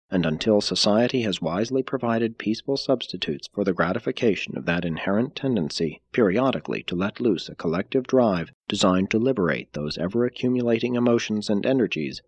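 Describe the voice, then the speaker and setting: A man reading a formal scripted audiobook as narrator, with measured, deliberate and emphatic phrasing. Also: on the low side, darkish tone, breathy edge, dry recording.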